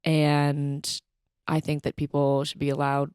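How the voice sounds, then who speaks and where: A young woman with a low-pitched voice co-hosts a podcast. She chats informally and offers her opinions in a conversational way, recorded dry with hardly any room sound. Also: completely flat delivery, a little slow, darkish tone, smooth, dry recording. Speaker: podcast co-host. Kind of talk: casual opinionated chat.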